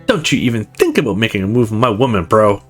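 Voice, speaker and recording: A man's voice: on the low side, sing-song, quick pace, faint music behind. A man voicing a lovestruck, boastful young best friend in a visual novel game. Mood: possessive. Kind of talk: visual novel character read-aloud.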